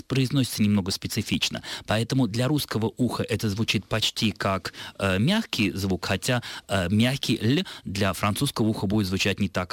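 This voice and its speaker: A low-pitched man speaks in a radio studio, answering listener questions about word usage. He explains in a measured, thoughtful way, pausing with small hesitations as he works through his points. The sound is dry and close, with no room echo. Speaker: language expert answering listener letters. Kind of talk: radio talk on Russian usage.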